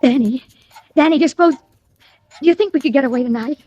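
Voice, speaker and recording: An actress playing an anxious, devoted young sweetheart in pleading, breathless 1940s radio melodrama style. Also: female voice, high voice, brisk, mellow, natural voice. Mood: pleading, tender. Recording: dull old radio recording.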